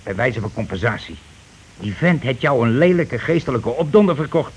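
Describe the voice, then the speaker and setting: An actor playing a scheming, bossy working-class husband who talks glibly and colloquially in a radio play, always justifying himself. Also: male voice, mid-range voice, sing-song, moderate pace, close to an everyday voice. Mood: glib, persuasive. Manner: glib, colloquial, self-justifying. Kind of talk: Dutch radio play acting. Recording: noisy old radio play recording.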